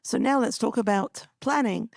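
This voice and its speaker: A woman hosting a podcast interview, hoarse from losing her voice, steering the talk with guided questions. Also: a little high, normal speed, no room sound, clean studio sound. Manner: conversational, asks guided questions. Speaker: podcast host.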